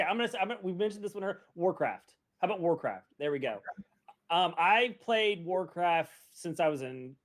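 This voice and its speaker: A middle-aged man hosting a casual podcast, chatting in an animated way and often interrupting himself to restart. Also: a little high. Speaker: podcast host.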